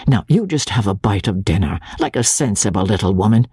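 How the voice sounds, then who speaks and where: Woman voicing a smooth flattering young clerk. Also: a bass voice, fairly quick, no echo, clean studio sound, voice pushed down, exaggerated voice. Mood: soothing. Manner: smooth, patronizing.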